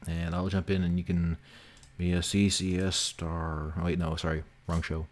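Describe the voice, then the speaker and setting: A man's voice: deep voice, flat delivery, moderate pace. A man hosting a development livestream, thinking aloud in an informal, sweary, teasing way. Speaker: livestream host and game developer. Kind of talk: casual livestream chat, thinking aloud while working.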